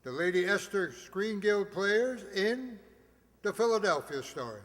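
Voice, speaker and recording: Man playing a high-voiced vintage radio announcer. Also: expressive, a little slow, fairly bright tone. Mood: grand, promotional. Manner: formal, grandly promotional. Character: polished, enthusiastic 1940s radio announcer and narrator. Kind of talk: 1940s radio announcing, scripted narration. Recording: echoey hall with long reverb.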